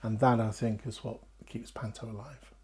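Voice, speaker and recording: A man's voice: fairly low pitch, flat delivery, normal speed, a little mellow. A middle-aged British man talking animatedly in an interview about his writing work, slipping into acted-out asides. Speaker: pantomime writer and actor.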